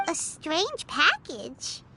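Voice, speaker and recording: A woman voicing a tiny, chirpy, curious fairy companion with a childlike, squeaky voice in a video game. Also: huge pitch swings, extremely slow, gravelly, heavy background noise, heavily acted. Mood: puzzled, curious. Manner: exclamatory, chatty. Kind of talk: video game character acting. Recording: music playing behind the voice.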